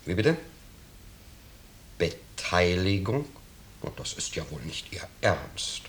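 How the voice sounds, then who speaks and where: A pompous, deep-voiced bourgeois gentleman. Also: sing-song, slow, heavily acted. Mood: irritated, haughty. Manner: fussily polite, hedging, self-righteous. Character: pompous middle-aged bourgeois businessman, flustered, falsely genial host. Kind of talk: 1950s German radio drama acting. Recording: noisy, clicking 1950s radio recording.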